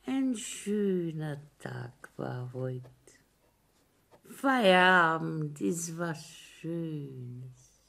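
An actress playing a meek, tipsy older wife in a German radio play, with a Bavarian accent, a husky voice and slurred drawling speech. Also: female voice, low-pitched, expressive, very slow, a bit bright, heavily acted. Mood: dreamy content.

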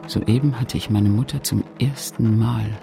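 A man playing the sober, confessional narrator of a childhood memoir in a literary radio play, speaking in a measured, grave way. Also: mid-range voice, steady tone, creaky, music in the background. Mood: sober recollection.

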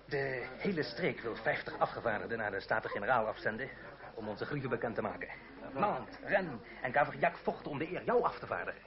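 Man as a fervent revolutionary, very animated delivery. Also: mid-range voice, a little fast, natural voice. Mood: proud, urgent. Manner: emphatic, rhetorical storytelling. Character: fervent male revolutionary delegate, proud and eager. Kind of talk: theatrical radio drama acting. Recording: dull old radio recording, busy crowd behind.

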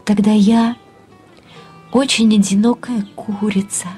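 A woman's voice: medium pitch, fairly even intonation, slow pace, dark tone, faint music behind, close to an everyday voice. An actress in an audio drama playing a loving, wistful, girlishly dreamy wife who coaxes tenderly in short phrases.

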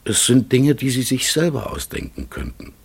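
An actor playing a melancholy, pondering raven in a radio play, halting and brooding, with a husky, gravelly voice. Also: male voice, medium pitch, huge pitch swings, exaggerated voice. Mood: pensive, searching. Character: melancholy, pondering raven in human life, gentle and troubled. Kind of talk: German radio play acting.

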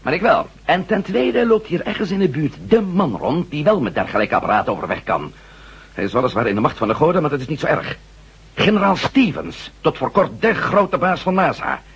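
A man's voice: on the high side, expressive, fairly quick, breathy edge, exaggerated voice. A man playing an eager, resourceful former astronaut turned reporter in a Dutch radio drama, persuasive and wry. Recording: dull, muffled radio play recording.

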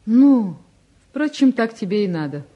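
An actress playing a spirited, frank young woman, a devoted sweetheart, in an expressive 1950s Soviet radio play style. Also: a little high, a little slow, dull audio. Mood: earnest, protective.

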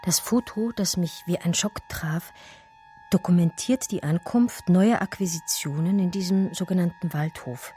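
A woman's voice: on the low side, a little slow, mellow, soft background music, natural voice. A woman playing an articulate, biting art historian who tells her own story in a radio drama. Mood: scornful, shaken. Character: female art historian recounting her discovery in first person. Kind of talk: dramatic first-person radio play narration.

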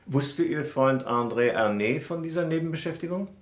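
An actor playing an exacting defence lawyer in a vintage radio crime drama, formal and full of probing questions. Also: male voice, a little high, lively intonation, moderate pace, natural voice. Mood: insistent, probing. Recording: roomy old radio play recording.

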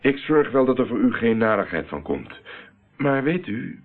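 An actor playing a shrewd, hard-nosed private detective in a radio play, questioning people in a condescending way. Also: male voice, medium pitch, big pitch swings, normal speed. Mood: pressing, condescending. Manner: interrogating, condescending. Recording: muffled narrowband old radio play recording.

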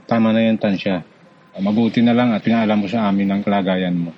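A forgiving middle-aged father, played by a male actor in a radio drama, soothing and protective. His voice sits a little low and moves quickly, over a dull recording with some hiss. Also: steady tone, natural voice. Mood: calm, reassuring. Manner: soothing, reassuring. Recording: dull lo-fi sound with background hiss.

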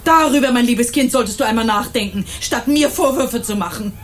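A woman's voice: fairly high pitch, fairly quick, lightly acted. A middle-aged woman acting an indignant, self-righteous mother in a German radio crime drama, with a stern, emphatic delivery. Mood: scolding, outraged. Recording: 1980s radio drama with faint noise.

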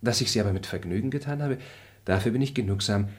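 Brief: a man as a low-voiced, formal, moralizing gentleman, radio play. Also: fast, lightly acted. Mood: lofty. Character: noble, high-minded male traveller. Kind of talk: radio drama acting.

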